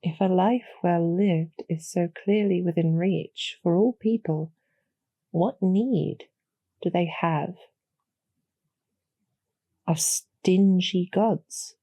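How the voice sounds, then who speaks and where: A woman playing a kind, calm demon general in a roleplay audio drama, speaking in a measured, formal and gently persuasive way. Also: fairly low pitch, slightly rough, no room sound, full character voice. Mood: earnest, calm.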